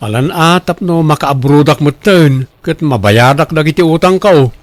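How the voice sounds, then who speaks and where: A man's voice: on the high side, moderate pace, close to an everyday voice. A man with a northern Philippine accent playing a hesitant, debt-ridden husband in a radio drama. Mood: dejected, hopeful. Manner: hesitant, pleading.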